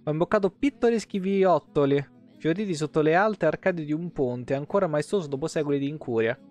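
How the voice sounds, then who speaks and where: Man in his thirties, slightly high voice, storytelling read of game lore, casual asides, soft guitar music behind. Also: brisk. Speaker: livestreamer. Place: indoor room, webcam livestream.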